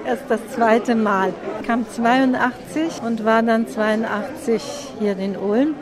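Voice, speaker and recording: A woman's voice: fairly high pitch, steady tone, slow pace. Middle-aged woman interviewed amid crowd chatter. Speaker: event visitor being interviewed. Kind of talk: reflective interview answers. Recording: busy crowd chatter behind. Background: crowd in a public space.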